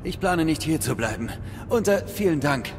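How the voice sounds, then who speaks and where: An actor playing a nervous, grateful office boss held hostage, anxious and eager. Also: male voice, on the high side, expressive, normal speed, a little hiss or hum. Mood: relieved. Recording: reverberant, music behind.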